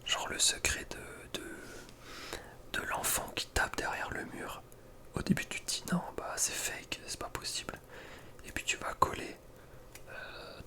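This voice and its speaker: A man delivers ASMR ranking commentary entirely in a whisper. He is close to the microphone and speaks informally, with plenty of slang and hesitant asides. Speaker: ASMR content creator.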